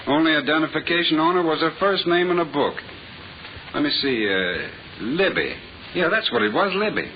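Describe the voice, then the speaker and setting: A chatty working-class morgue attendant with a very lively, up-and-down delivery. He rambles in casual slang, in old-time radio drama style. The old recording is dull and clearly noisy. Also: on the high side, normal speed. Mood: grimly casual.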